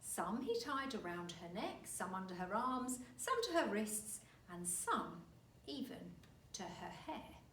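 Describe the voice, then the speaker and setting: A woman reading a children's story aloud like a teacher, expressive and deliberate. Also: mid-range voice. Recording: roomy, distant mic, faint noise.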